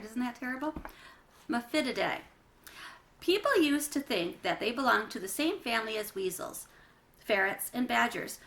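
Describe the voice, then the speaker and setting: A woman's voice: a little high, pushed and bright. A woman reading a children's book aloud, narrating with chatty asides to young listeners. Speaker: children's read-aloud reader.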